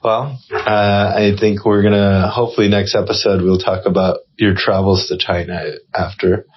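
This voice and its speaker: Man, podcast host, low-pitched, affirming, muffled lo-fi audio. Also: little pitch movement, moderate pace, breathy edge. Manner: encouraging, affirming, sums up what he hears. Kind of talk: casual interview chat.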